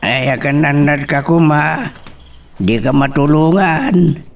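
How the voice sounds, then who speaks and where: Guy playing older ilocano dad, real slow and gentle, kinda high voice, off the mic. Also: vocal fry, far from the mic, slight background noise. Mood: gently advising. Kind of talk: amateur audio drama acting.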